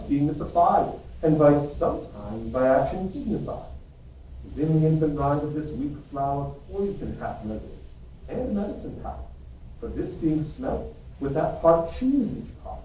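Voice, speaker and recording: A man plays a kindly Shakespearean friar who muses and chides in an amateur reading. His voice is highish and lively, heard in a very echoey room. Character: Shakespearean friar, kindly mentor musing and scolding. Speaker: English teacher. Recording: roomy, distant, dull, faint noise.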